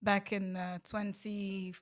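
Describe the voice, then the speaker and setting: A woman in her thirties talks as a guest in a measured way, with ums along the way. Her voice sits at a middling pitch. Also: level tone. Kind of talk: casual chat.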